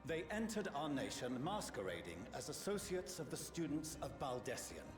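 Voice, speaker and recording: A man's voice: medium pitch, sing-song, normal speed, natural voice. A man playing a pompous, disdainful politician in a game cutscene, speaking in formal, haughty oratory. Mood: accusatory. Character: pompous, accusatory male politician, stern and disdainful. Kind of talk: video game cutscene acting. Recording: echoey chamber, music underneath.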